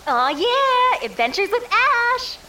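A woman playing an excitable, carefree young woman in an audio drama, gushing in slangy, sing-song phrases. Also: very high voice, slow, slight background noise, full character voice. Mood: excited, breezy.

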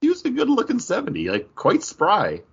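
A man's voice: high-pitched, huge pitch swings, normal speed, slightly rough, muffled recording. A man hosting a podcast in a casual, conversational style. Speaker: podcast host. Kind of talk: casual chat.

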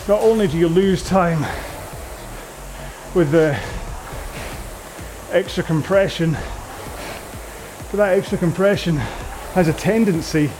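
A man coaching a rowing workout in a casual, rambling, jokey and conversational way. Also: high voice. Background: music.

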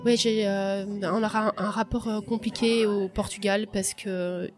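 A woman's voice: mid-range voice, flat delivery, unhurried, over music. A young woman from France, a podcast guest, speaks hesitantly and thoughtfully, with many fillers and restarts. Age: young adult. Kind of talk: reflective personal interview.

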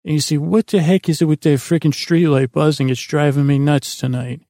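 A man hosting a sleep podcast, talking in a gentle, meandering, halting way that keeps interrupting itself, close on the microphone. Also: fairly high pitch, fairly even intonation, no room sound. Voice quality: creaky, gravelly. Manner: meandering, halting, self-interrupting with false starts. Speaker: podcast host. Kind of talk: rambling bedtime monologue to help listeners sleep.

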